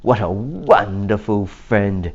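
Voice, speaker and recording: A man's voice: fairly low pitch, takes it slowly, darkish tone, breathy edge, highs cut off, exaggerated voice. A man voicing a lonely, boastful goat in a storybook with a showy, bragging manner. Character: boastful lonely male goat on a tower throne.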